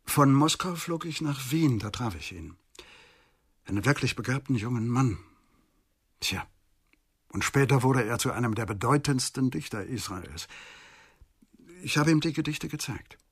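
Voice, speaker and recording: Weary middle-aged lawyer, husky, thoughtful and halting, for a radio crime play. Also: male voice, medium pitch, lively intonation, a bit bright, full character voice. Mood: wistful, reflective. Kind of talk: German radio crime drama acting.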